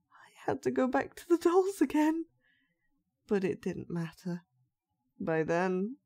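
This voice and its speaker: A woman's voice: medium pitch, dark tone. A woman playing an unhinged, sweetly sinister young dollmaker in a horror audio roleplay, with childlike, sing-song menace. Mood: eerie, brooding.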